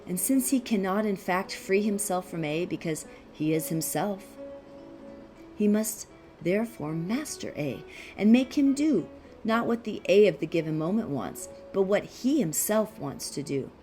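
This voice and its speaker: A woman reading a philosophical text aloud as scripted narration, in a measured, deliberate way with pauses. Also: medium pitch, animated, mellow, faint music behind. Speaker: audiobook reader.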